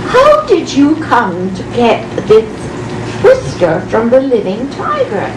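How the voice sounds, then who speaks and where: A woman storyteller playing a patient, wise village potion man in a folk tale, speaking in a measured, instructive way. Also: fairly high pitch, huge pitch swings, heavily acted. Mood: curious. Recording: roomy, distant mic, clearly noisy background.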